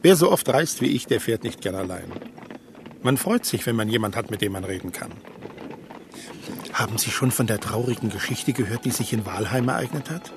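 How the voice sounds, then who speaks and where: An actor playing a talkative, gossipy traveller in a radio drama. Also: male voice, medium pitch, lively intonation, a little fast, noisy background, natural voice. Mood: chatty. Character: chatty, gossipy male coach traveller. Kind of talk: literary radio drama acting. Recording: music behind the voice.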